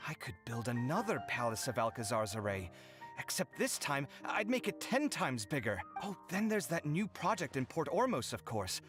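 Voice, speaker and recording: A man's voice: mid-range voice, big pitch swings, normal speed, lightly acted. An actor playing a dramatic, earnest young man in an anime game, theatrical and articulate. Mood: excited, dreamy. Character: dramatic young male architect, debt-ridden, earnest daydreamer. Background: game music.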